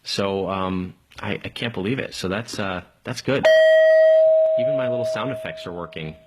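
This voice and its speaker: A man hosting a casual live call-in tech stream, rambling along in an informal, enthusiastic way with a slightly husky voice. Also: sits low, moderate pace, rough recording. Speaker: live tech show host.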